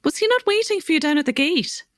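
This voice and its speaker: A woman's voice: extremely high pitch, lively intonation, quick pace, fairly bright tone, no echo, clean studio sound. An actress playing a kindly, concerned Irish mother figure, soothing in manner, in a children's audio drama. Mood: reassuring.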